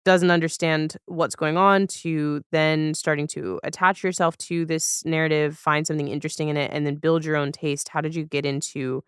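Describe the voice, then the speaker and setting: A woman with a very clear, smooth voice in a dry recording. She speaks as a podcast host, putting questions to a guest in a casual, chatty style. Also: low-pitched, fairly even intonation, normal speed, hardly any pauses, dry recording. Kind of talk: casual conversational interviewing.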